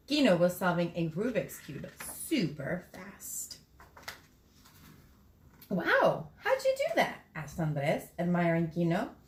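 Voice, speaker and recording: A woman reading a children's picture book aloud in a lively, expressive way for school kids. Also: mid-range voice, slight background noise. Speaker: school story reader. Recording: echoey room.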